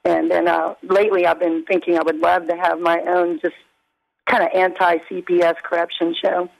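A woman with a raspy voice calling in to a radio show, speaking loudly and earnestly over the phone. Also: on the low side, level tone, normal speed, low-quality recording. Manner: loud, emphatic, earnest. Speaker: guest caller. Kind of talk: phone-in interview. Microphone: phone call.

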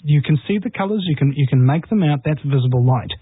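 A man's voice: a little high, expressive, fast talker, breathy edge, dry recording. A man from New Zealand, a radio host appearing as a guest, talking in an impassioned and rousing way on talk radio. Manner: combative, rousing, rhetorical. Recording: tinny phone line, dry.

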